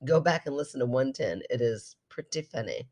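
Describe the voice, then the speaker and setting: A woman's voice: very deep voice, expressive, brisk, a little mellow. A woman co-hosting a podcast in casual comedic chat, giggly, exclamatory and given to swearing. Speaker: podcast co-host.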